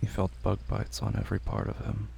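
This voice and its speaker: A man narrating a scripted horror audio drama in terse, grave, deliberate phrases with long pauses. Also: on the low side, sing-song, creaky, mellow, a little hiss or hum.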